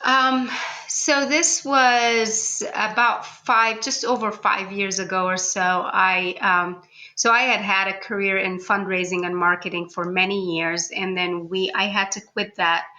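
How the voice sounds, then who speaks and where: A woman speaks as an interview guest in a casual, chatty way. Her voice is bright and crisp-edged, sitting at an ordinary middle pitch. She keeps the wording informal and conversational. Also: steady tone, unhurried, low-quality recording. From Egypt. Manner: chatty, informal, often laughs. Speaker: podcast guest, food blogger.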